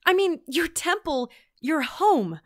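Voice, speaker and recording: A woman playing an earnest, quick-witted traveler on a mission in a fantasy audio drama. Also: very high-pitched, big pitch swings, heavily acted. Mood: rousing. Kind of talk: fantasy audio drama acting with log narration.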